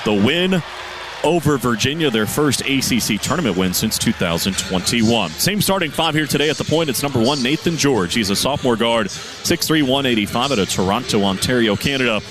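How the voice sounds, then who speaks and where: A man's voice: medium pitch, expressive, brisk, over music. A man calling a basketball game on radio, reading lineups and stats from an arena broadcast position. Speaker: radio play-by-play announcer. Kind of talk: pregame sports radio broadcast.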